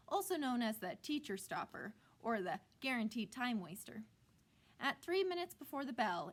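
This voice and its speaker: A woman narrating a children's book like a teacher reading aloud, expressive and deliberately phrased. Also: a little high.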